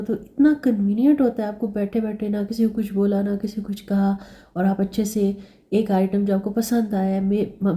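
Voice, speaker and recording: A woman vlogging in a chatty way, hoarse from a sore throat, repeating words and slipping English phrases into her talk. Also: mid-range voice, dark tone. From Pakistan. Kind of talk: casual vlog narration.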